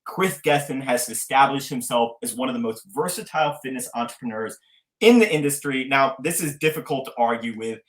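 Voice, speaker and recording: A man's voice: medium pitch, brisk, roomy sound, poor audio. A young man hosting a podcast, asking questions eagerly with hype-style introductions. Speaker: podcast host. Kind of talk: casual interview talk.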